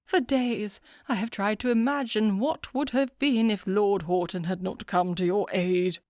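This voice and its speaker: A woman voicing a fussy, gushing older society hostess who talks in a simpering, excitable way. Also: a little slow, dry recording, clean studio sound, lightly acted. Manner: simpering, excitable, high-pitched.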